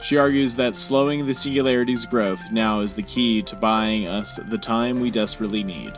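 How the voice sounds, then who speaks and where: A man playing a measured, serious host moderating a scripted wartime radio debate. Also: fairly low pitch, close to an everyday voice. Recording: dull lo-fi radio sound with music bed.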